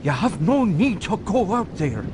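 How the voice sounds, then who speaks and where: An actor playing a fearful, superstitious groundskeeper, full of foreboding warnings in a game cutscene. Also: male voice, sing-song, measured pace. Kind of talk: dramatic game cutscene acting. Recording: noisy, rumbling stormy background.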